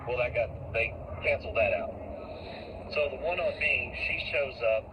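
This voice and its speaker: A man being interviewed, telling anecdotes casually in a folksy, halting way with repeated words. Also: medium pitch. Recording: noisy played-back online interview clip.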